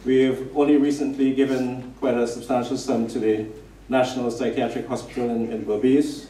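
A middle-aged man giving a measured, formal speech at a stand microphone in a community hall, with some restarts. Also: mid-range voice, little pitch movement, warm tone, slight background noise. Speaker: association representative presenting a donation. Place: decorated community hall, indoors. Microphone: stand mic. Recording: echoey hall, picked up from a distance.

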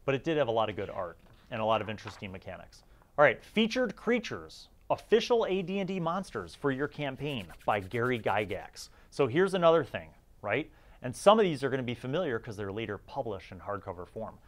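A man's voice: mid-range voice, very animated, fairly quick. A middle-aged man giving casual, opinionated review commentary in an informal, conversational way as the host of a series.

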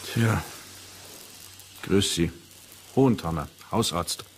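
An actor playing a composed country family doctor in a radio drama, measured, formal and clinical in manner. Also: male voice, low voice, gravelly, noisy background, heavily acted. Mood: composed, factual. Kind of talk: naturalistic radio drama acting.

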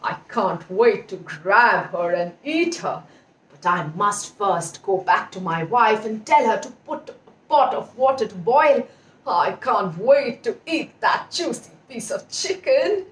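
A woman's voice: mid-range voice, lively intonation, measured pace, voice pushed down, natural voice. A woman voicing a greedy, scheming male fox who savours his words gleefully in a children's puppet story. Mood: gloating, eager. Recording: echoey room, distant mic.

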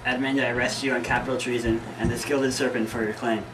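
A man playing a stern, indignant duke in amateur Shakespeare, brisk and declamatory, recorded from a distance with noise. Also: medium pitch, little pitch movement, fairly bright tone, lightly acted. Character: stern, commanding Duke of Albany, indignant male noble. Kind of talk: amateur Shakespearean stage acting.

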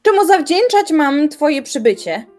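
An actress playing a proud young woman philosopher in an audio drama, trading sharp, sarcastic retorts. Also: high voice, very animated, a little slow, soft background music. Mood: composed. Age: young adult. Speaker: voice actor.

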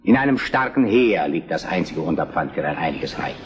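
A man's voice: deep voice, very animated, a little fast, dull audio. An actor playing a resolute, combative Prussian statesman in a historical radio drama, with formal, emphatic oratory. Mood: combative, declamatory. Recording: very noisy archival-sounding radio play.

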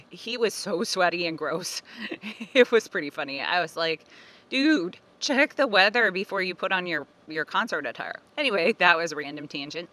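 A woman in her forties talks casually into a phone held at arm's length, with a bright, forward tone. She is chatty and animated, often laughing at herself. She is recording outdoors in a sunny park. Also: lowish voice. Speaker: family budget vlogger. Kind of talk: casual vlog talk to camera.

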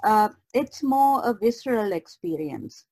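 A woman's voice: mid-range voice, big pitch swings, unhurried, darkish tone, breathy edge. A woman speaking as a guest artist in a casual discussion.

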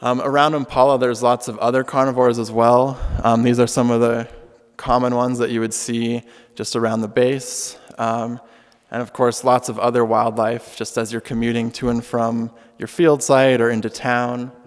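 Young man, keynote talk, podium mic, level flat delivery, ringing auditorium reverb. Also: mid-range voice, normal speed. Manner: frequent ums, relaxed and earnest. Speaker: keynote speaker. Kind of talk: conference talk with slides. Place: large dark auditorium stage at a podium. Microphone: podium microphone. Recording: long hall reverb.

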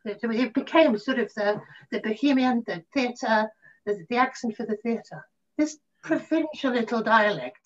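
A middle-aged woman reminiscing casually in an interview, telling stories in a halting, animated way. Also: a little high. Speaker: interview guest, actor. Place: room at home, on a video call. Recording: muffled video call audio.

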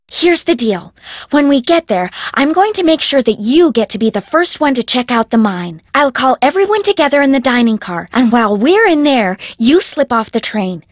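A woman's voice: high voice, no room sound, clean studio sound. A woman voicing a bubbly, bossy, spoiled rich young heiress in a video game, with an American accent and perky, dramatic, casual phrasing. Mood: excited, bossy. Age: young adult.

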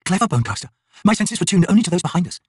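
A man's voice: high-pitched, very animated, racing, breathy edge, dry recording, clean studio sound, distant mic, pitched above the performer's own voice, full character voice. A man voicing a weary, desperate non-human mother in a fantasy audiobook, terse and resigned.

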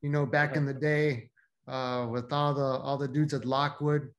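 A man's voice: mid-range voice, flat delivery, unhurried. A middle-aged man from Mexico asking a rambling, friendly question over a video call from a dim room at home. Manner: rambling, friendly, many fillers. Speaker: audience member asking a question. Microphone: laptop webcam on a video call.

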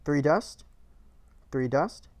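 A man's voice: fairly high pitch, expressive, slow pace, a little mellow, no room sound. A man narrating a game build tutorial in short, clipped, matter-of-fact instructions, recorded as a voice-over.

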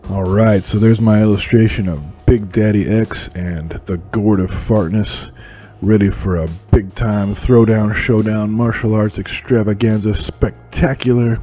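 A man's voice: fairly low pitch, steady tone, unhurried, warm tone, breathy edge. A man giving casual, conversational voice-over commentary as an illustrator hosting a drawing channel. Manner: informal, conversational. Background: music.

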